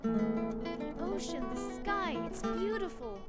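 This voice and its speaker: A dreamy, nature-loving bard from a fantasy game, played by a woman, with a very high, wonderstruck voice. Loud plucked lute music plays behind her. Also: expressive, slow, full character voice. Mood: awestruck. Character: dreamy female bard, awestruck lover of nature. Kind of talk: fantasy video game voice acting.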